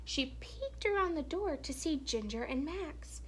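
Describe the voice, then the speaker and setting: A woman reading a children's story aloud in an expressive, animated storytelling manner with hushed, dramatic phrasing. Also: high voice, a little slow, slight background noise. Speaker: teacher or reader reading a children's picture book aloud.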